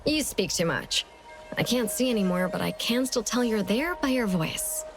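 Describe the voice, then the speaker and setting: An actress playing a wry, world-weary female hunter in a game, composed and deliberate in her speech. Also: on the high side, lively intonation, over music. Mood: calmly pointed. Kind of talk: game character dialogue.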